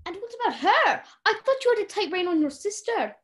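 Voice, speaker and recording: Young woman as scheming lady, high pitch, lively swings, commanding, radio drama. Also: brisk, heavily acted. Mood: stern, conspiring. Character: Lady Eleanor, commanding, scheming society lady hiding her crimes. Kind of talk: radio play acting.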